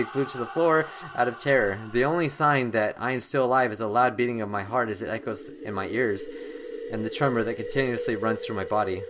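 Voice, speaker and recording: A young man hosting a horror game playthrough, reading the story text aloud in an excitable, dramatic way and stumbling now and then. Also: medium pitch, noisy background. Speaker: gaming video host.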